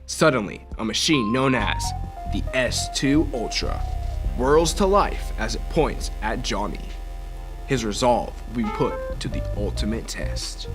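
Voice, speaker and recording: A man's voice: mid-range voice, animated, a little slow. A man narrating an old-time radio mystery in a suspenseful, dramatic announcer style. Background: music.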